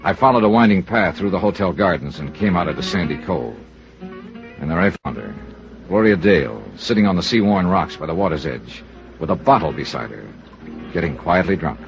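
An actor playing a tough, wisecracking insurance investigator, blunt and sardonic, in old-time radio drama style. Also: male voice, sits low, expressive, moderate pace, gravelly. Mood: reflective narration. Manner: blunt, sardonic, hard-boiled. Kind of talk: 1950s radio drama acting and narration. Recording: dull old radio recording, music.

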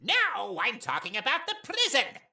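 Bright, bombastic cartoon skeleton. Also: female voice, on the high side, wildly swooping pitch, normal speed, heavily acted. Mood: insistent, cheerful. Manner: loud, theatrical, emphatic declarations. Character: boisterous, naive, self-assured skeleton with grand trap schemes. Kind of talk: fan audio drama acting.

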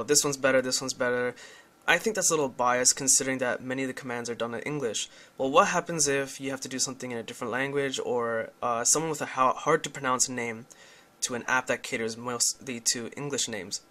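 A man's voice: medium pitch, pushed and bright. A young man giving a casual, candid demonstration of a phone app, explaining things as he goes. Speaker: tech reviewer.

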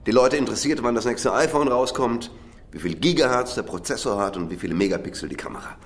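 A man's voice: mid-range voice, quick pace, close to an everyday voice. An actor playing a cynical, defensive middle-aged businessman under interrogation in a radio crime drama, self-justifying and swinging between muttering and heated outbursts. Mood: cynical, scornful. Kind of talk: naturalistic German radio drama acting.

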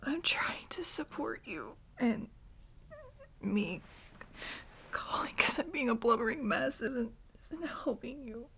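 Insecure girlfriend , played by a woman, ASMR audio drama. Tearful, faltering, self-deprecating; creaky voice with big pitch swings. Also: animated, slow pace, fairly bright tone, heavily acted. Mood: apologetic, tearful. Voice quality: breathy, whispery. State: crying, sniffly. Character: tearful, insecure young girlfriend seeking comfort.

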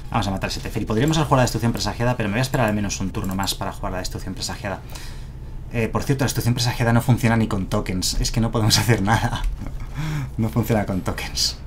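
A man's voice: lowish voice, very fast, a little hiss or hum. A man in his thirties with a Madrid Spanish accent, casually thinking aloud while streaming a card game on a headset mic. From Madrid, Spain. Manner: casual, thinking aloud, self-deprecating chuckles. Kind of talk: live gameplay commentary. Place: home streaming room, indoors.

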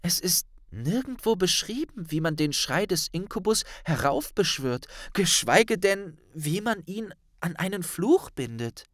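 A man's voice: high voice, sing-song, measured pace, few pauses, a bit bright, no room sound, clean studio sound, voice pushed up, full character voice. A man voicing an earnest, anxious teenage witch girl who speaks in a careful, questioning and precise way for an audiobook.

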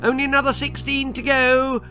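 A man voicing a flighty, excitable young female tooth fairy with a shrill, trilling voice in a children's story. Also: moderate pace, soft background music. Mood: brisk, bossy.

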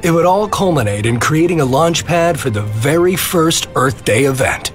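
A man's voice: a little high, expressive, moderate pace, faint music behind, close to an everyday voice. A man voicing an earnest American environmental historian giving scripted explanatory commentary.

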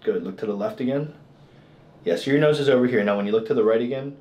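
A man's voice: mid-range voice, fast, darkish tone. A man in his thirties, a chiropractor talking casually and explaining things to a patient. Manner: informal, explanatory. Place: small indoor treatment room. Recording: clearly noisy small treatment room.

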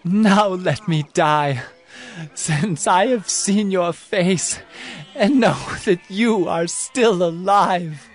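An old male patriarch acted by a man in an audio Bible drama, speaking extremely slowly. He sounds frail, devout and emotional, with music behind him. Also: sits high. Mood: moved, relieved. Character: aged patriarch Jacob, frail, devout and moved.

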